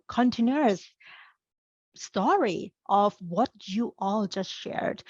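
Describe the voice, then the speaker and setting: A woman's voice: mid-range voice, darkish tone, dry recording, dull audio. A middle-aged woman from Thailand, a career coach presenting a webinar, speaking in an upbeat, encouraging way straight to her audience.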